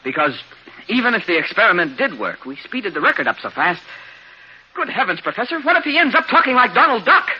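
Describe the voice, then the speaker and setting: A man's voice: sits high, sing-song, brisk, breathy edge, exaggerated voice. A man playing a concerned, deferential lab assistant in a 1950s radio drama. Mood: worried. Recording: muffled, faintly noisy vintage radio.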